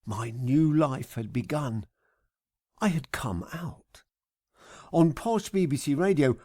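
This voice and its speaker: A middle-aged man reading scripted first-person comic narration in a wry, deadpan, mock-grand manner. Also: mid-range voice, breathy edge, dry recording, clean studio sound. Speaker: author reading own audiobook.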